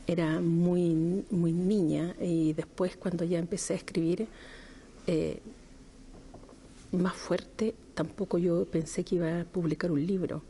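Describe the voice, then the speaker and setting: A middle-aged woman from Chile, a poet answering interview questions slowly and thoughtfully, with frequent hesitations. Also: on the low side, level tone, dark tone, a little hiss or hum.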